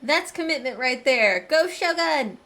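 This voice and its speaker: A woman's voice: high-pitched, expressive, a little slow, pushed and bright. A lighthearted, enthusiastic woman giving casual gameplay commentary as a streamer. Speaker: game streamer. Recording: game music under the voice.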